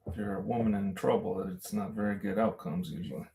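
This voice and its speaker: A woman with a very deep, muted voice chats casually in a hesitant, low-key way. There is faint noise in the off-mic recording. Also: fairly even intonation, quick pace, vocal fry, warm tone. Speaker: companion listening to the story. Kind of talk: casual chat.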